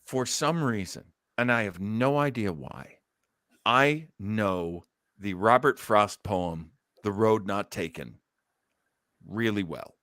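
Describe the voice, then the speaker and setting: A man's voice: fairly low pitch, slow pace, pauses often, a bit bright, no room sound, clean studio sound. A man hosting a podcast in casual, jokey chat. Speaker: podcast host.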